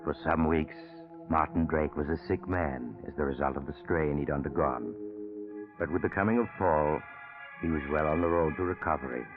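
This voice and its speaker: An actor playing a grave, measured storyteller, narrating a scripted 1940s radio mystery. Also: male voice, very deep voice. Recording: muffled vintage radio with music underneath. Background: loud background music.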